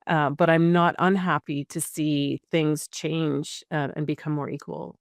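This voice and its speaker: Middle-aged woman host with a gravelly, creaky edge and a dry, close sound; conversational and encouraging. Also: sits low, little pitch movement, measured pace, dry recording. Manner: conversational, encouraging, with frequent fillers and restarts. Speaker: podcast host. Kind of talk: casual interview chat.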